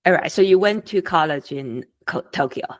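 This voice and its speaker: A woman's voice: deep voice, animated, moderate pace. A woman from Taiwan, hosting a podcast interview in a chatty way with loose phrasing. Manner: chatty, loose phrasing with many "you know"s. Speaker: podcast host. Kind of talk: casual interview.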